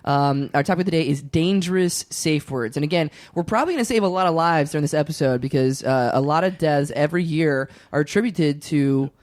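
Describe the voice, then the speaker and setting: A man's voice: fairly high pitch, brisk, hardly any pauses, no room sound, studio quality. A man hosting a comedy podcast, joking around and laughing often. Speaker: podcast host. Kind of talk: casual comedy chat.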